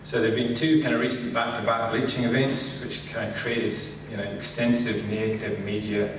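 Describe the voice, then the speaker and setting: A man's voice: medium pitch, moderate pace, noisy background. Scottish man speaking in an echoey hall. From Scotland. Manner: relaxed, filler-heavy, says "kind of" constantly. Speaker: conference speaker. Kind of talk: conference talk. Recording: echoey hall, distant mic.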